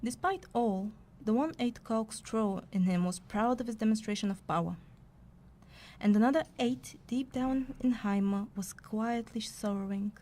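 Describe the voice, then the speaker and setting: A woman playing a brisk storyteller, narrating a mock fantasy tale in a scripted audio play. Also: on the high side, mellow, lightly acted.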